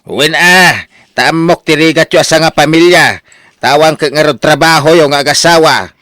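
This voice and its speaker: An actor with an Ilocano Filipino accent playing a boastful, generous older husband in a radio drama, talking in a showy, exclamatory way. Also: male voice, on the high side, normal speed, sharp tone, dry recording, clean studio sound. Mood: proud, earnest. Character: boastful, generous older husband, newly rich lottery winner.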